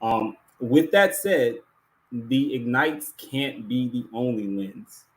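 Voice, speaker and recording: A man hosting an awards ceremony, giving a prepared closing speech in an earnest, deliberate way with emphatic repetition. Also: mid-range voice, lively intonation. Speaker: awards show host.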